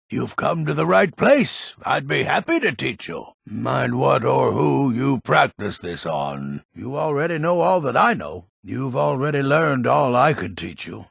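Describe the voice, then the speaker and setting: An actor playing a grumpy, proud old Nordic townsman in a fantasy video game, gruff and blunt, with a gravelly, husky voice. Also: medium pitch, a bit bright, no room sound, clean studio sound, exaggerated voice. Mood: curt. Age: older.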